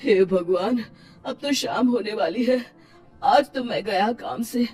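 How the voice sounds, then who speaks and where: Woman playing a slow, fretful villager. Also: fairly high pitch, a little mellow, faint music behind. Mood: lamenting. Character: hapless, anxious villager with an ox cart. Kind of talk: cartoon story acting.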